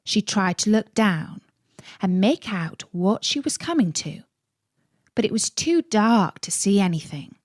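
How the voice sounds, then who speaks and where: A woman's voice: mid-range voice, no echo, clean studio sound. A woman reading a children's story as a scripted narration.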